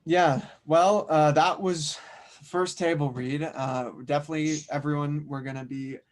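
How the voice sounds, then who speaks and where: A man's voice: a little high, steady tone, moderate pace. A man who narrates and directs an amateur audio drama table read.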